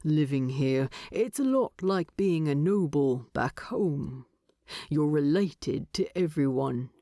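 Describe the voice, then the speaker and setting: Woman putting on a low, gravelly gruff dwarf man. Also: animated, takes it slowly, dark tone, no room sound, clean studio sound, exaggerated voice. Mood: wry, weary. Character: gruff, terse male dwarf, brooding and thoughtful. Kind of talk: audiobook character voice.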